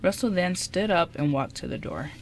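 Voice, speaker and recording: A woman's voice: on the low side, expressive, moderate pace, gravelly, slight background noise. A woman reading scripted narration for an amateur radio drama.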